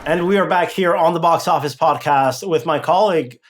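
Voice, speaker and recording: A man from Mexico hosting a podcast, brisk and upbeat as he moves between chat and an interview. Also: a little high, heavy background noise. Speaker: podcast host.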